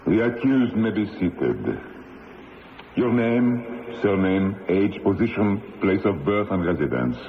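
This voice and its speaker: Tribunal judge: low-pitched, accusing, formal and declamatory, widely varied intonation, old radio drama sound. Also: male voice, sing-song, takes it slowly, phone-quality sound, heavily acted. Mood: officious. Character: stern, accusing male revolutionary tribunal judge. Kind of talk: 1970s radio drama acting. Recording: thin old radio recording, reverberant, faint noise.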